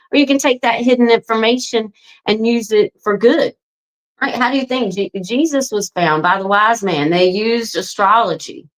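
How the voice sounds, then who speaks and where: A woman's voice: mid-range voice, rough recording. A woman in her forties talking casually and earnestly to viewers, with frequent ums and "right?" tags, promoting her astrology readings. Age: 40s. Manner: earnest, chatty, addresses viewers as folks. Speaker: astrology and wellness coach. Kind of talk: casual direct-to-camera promo talk.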